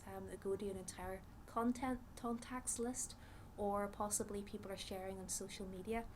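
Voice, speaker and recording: A woman from Northern Ireland with a Northern Irish accent, a psychology researcher explaining ideas in a measured way with frequent ums. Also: medium pitch, slight background noise. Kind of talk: explanatory interview talk.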